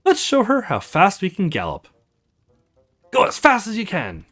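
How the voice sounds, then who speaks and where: Small magic fox, playful show-off, high bouncy swings in pitch, game character, performed by a woman. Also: wildly swooping pitch, normal speed, a bit bright, faint music behind. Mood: playful, boastful. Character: tiny magic fox, cheerful, boastful, playful.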